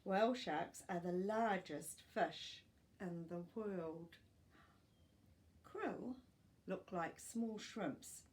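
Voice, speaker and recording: A middle-aged woman reading aloud to young children as a storytime host, expressive and explanatory, with small asides. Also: fairly low pitch, very slow. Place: living room at home.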